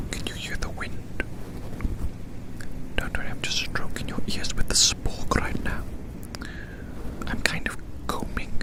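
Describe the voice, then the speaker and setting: Casting: ASMR whisperer, breathy and unvoiced, close-miked outdoors, whimsical rambling musings with soothing repetition. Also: rough recording. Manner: repeats phrases slowly and soothingly. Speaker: ASMR performer. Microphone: close microphone.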